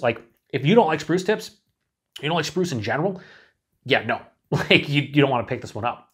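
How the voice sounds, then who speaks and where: A man's voice: mid-range voice, husky. A man in his forties, chatting casually to camera as he reviews a beer, thinking aloud with lots of fillers and restarts, in a small indoor room.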